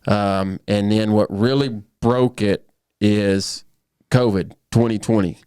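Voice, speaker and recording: A man's voice: on the low side, slow, dark tone, clear, resonant tone, dry recording, clean studio sound. A man in his thirties, a professional bullfighter, telling his story earnestly in a casual podcast conversation, often restarting his sentences. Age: 30s.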